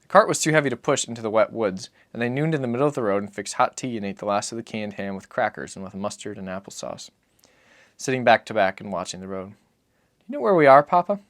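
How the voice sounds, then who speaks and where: A man giving a dramatic reading of literary fiction, solemn and measured in his phrasing. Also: fairly low pitch, fairly bright tone, dry recording, clean studio sound. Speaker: audiobook narrator.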